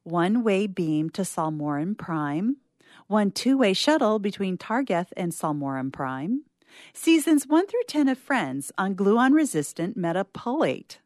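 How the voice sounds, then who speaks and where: A woman's voice: medium pitch, big pitch swings, measured pace, a little mellow, no room sound, studio quality. Woman playing a ceremonious, earnest sci-fi bride-to-be. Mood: cheerful, ceremonious. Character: gushing, formal bride-to-be announcing interspecies gift registry. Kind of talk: comic science-fiction story reading.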